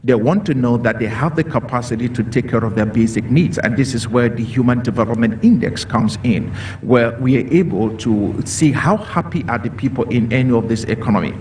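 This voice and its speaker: A man's voice: medium pitch, fairly quick, darkish tone. A man from Cameroon speaking as a panelist in a discussion, earnest and repetitive, with many fillers. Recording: distant mic with faint noise.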